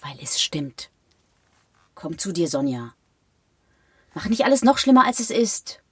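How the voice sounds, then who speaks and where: Husky, exasperated, blunt woman. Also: lowish voice, very animated, unhurried, fairly bright tone, no room sound, full character voice. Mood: exasperated, weary. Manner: blunt, reproachful. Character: exasperated, blunt, down-to-earth woman confronting a dreamer. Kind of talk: German radio drama acting.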